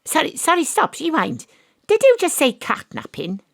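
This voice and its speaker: Female private eye, Welsh accent, deadpan noir parody, sceptical, very dry close sound. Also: high-pitched, moderate pace, creaky, dry recording, exaggerated voice. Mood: probing, incredulous. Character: wry female Welsh private detective, ex-rugby player. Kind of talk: noir-parody audio drama narration and dialogue.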